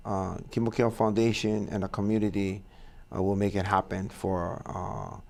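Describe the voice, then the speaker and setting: A man with a low, gravelly voice talks in an easy studio chat. His phrasing is plain and pidgin-tinged, with many pauses on "uh" as he gathers his thoughts. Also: level tone, a little mellow. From Hawaii. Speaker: foundation founder, co-host.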